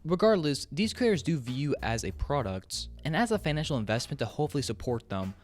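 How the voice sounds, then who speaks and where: A man's voice: mid-range voice, very animated, a little fast. A young man narrating an opinion video essay in a conversational, frank and emphatic way, sometimes swearing. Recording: music under the voice. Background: background music.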